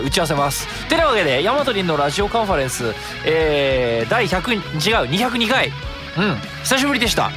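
A man hosting a solo radio show in casual talk, chatty and rambling, thinking aloud and correcting himself as he goes. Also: a little high. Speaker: radio host. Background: background music.

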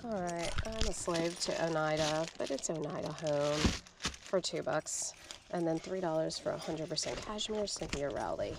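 A woman's voice: sits low, lively intonation, normal speed. A woman chatting casually to viewers in a folksy, wry way while vlogging. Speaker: vlog host, reseller at yard sales. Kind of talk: casual first-person vlog chat to viewers. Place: yard sales outdoors, driveways and car trunk. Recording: noisy outdoor handheld action-camera audio.

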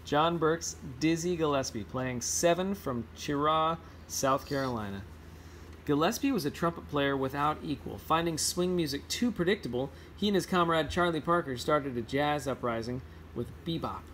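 A man's voice: fairly high pitch, lively intonation, moderate pace, fairly bright tone, slight background noise. A man reading a children's picture book aloud informally, now and then stumbling and correcting himself. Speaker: read-aloud host.

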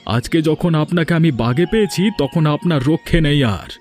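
Man acting an indignant, high-pitched village householder. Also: normal speed, a little mellow, faint music behind, natural voice. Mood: stern. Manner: scolding, emphatic. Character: indignant younger village householder, a husband, stern and scolding. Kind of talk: cartoon voice acting.

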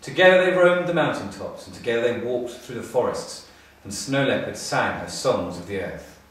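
A middle-aged man narrating a children's storybook in a measured, expressive way with pauses. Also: mid-range voice. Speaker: storybook reader, school staff. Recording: echoey room, distant mic.

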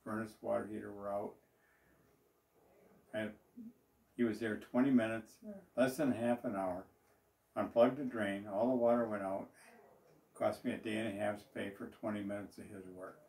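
Old guy telling his business story, low gravelly voice, picked up from across the room. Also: fairly even intonation, moderate pace, pauses often, darkish tone. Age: older. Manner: plain, rambling, with fillers and restarts. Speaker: business owner. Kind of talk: speaking to camera about his business. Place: small office, indoors. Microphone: far camera. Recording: roomy echo, voice from a distance.

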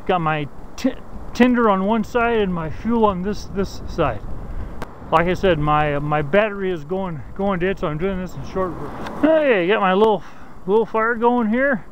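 A middle-aged man vlogging outdoors in snowy woods, talking to a handheld camera in a halting way, often repeating his words. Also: sits high, a little hiss or hum, rough recording. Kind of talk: casual bushcraft walkthrough. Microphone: handheld camera at arm's length.

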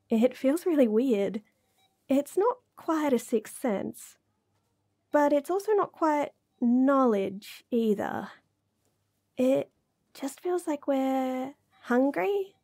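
A woman's voice: a little high, warm tone, full character voice. A woman playing a shy, smitten young bunny girl in a romantic audio roleplay, stammering and giggly as she rambles bashfully.

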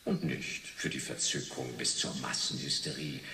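An actor playing a jovial male TV quiz show host in a radio play, with halting showman patter. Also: extremely low pitch, bright, forceful tone, full character voice. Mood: chatty, smug. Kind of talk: 1980s German radio play acting. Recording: echoey hall, distant, faint noise.

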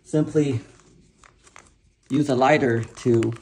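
A man slowly and methodically explaining a garage hoist install, step by step. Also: medium pitch, fairly even intonation, dark tone. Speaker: DIY instructor. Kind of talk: step-by-step how-to narration. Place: home garage.

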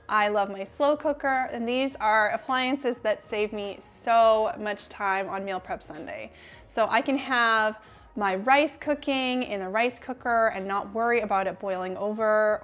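A woman's voice: a little high, a bit bright. Upbeat woman sharing cooking tips. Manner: upbeat, friendly explainer. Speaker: cooking channel host. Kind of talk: scripted tips to camera. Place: home kitchen, indoors.

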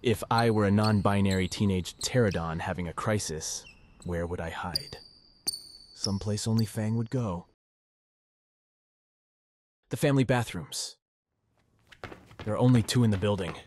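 A man's voice: fairly low pitch, normal speed, pauses often, darkish tone, slight background noise, natural voice. A man playing an awkward, wry teenage boy in a visual novel, both narrating and speaking in dialogue.

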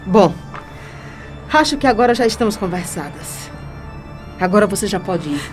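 Young Brazilian female blackmailer, played by an actress: defiant, self-righteous, highly animated, radio soap with faint music. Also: normal speed, lightly acted. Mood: cool, dismissive. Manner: theatrical, taunting. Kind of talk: radio soap opera acting.

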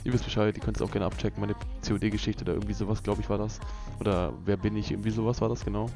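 A man's voice: on the low side, flat delivery, rapid-fire, creaky, over music. A young man from Berlin, rambling in a laid-back, casual way with many fillers and laughs, talking over gameplay footage. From Berlin, Germany. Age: young adult. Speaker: gaming YouTuber. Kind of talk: casual gameplay commentary vlog.

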